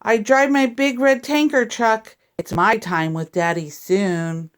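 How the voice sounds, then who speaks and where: Lady teacher reading a kids story out loud, takes it real slow, expressive. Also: on the high side, smooth. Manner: deliberate, animated reading with sound effects and asides to listeners. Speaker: teacher reading a picture book to young students. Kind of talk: expressive children's story read-aloud.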